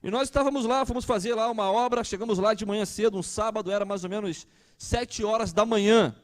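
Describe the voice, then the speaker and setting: A man's voice: high-pitched, fast. A man, preaching a conversational sermon and asking the congregation questions. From Brazil. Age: 30s. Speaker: pastor.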